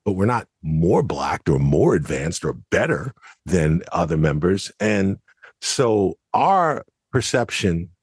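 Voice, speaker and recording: A man from the United States giving measured, scholarly commentary in an analytical podcast discussion. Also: sits low, very animated, a little mellow, breathy edge, no room sound, studio quality.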